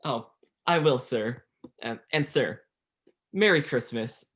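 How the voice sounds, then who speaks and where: Slow, timid clerk played by a man. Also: mid-range voice, animated, a bit bright. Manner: hesitant, deferential. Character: meek, timid male clerk, deferential to his boss. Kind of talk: 1930s radio play acting.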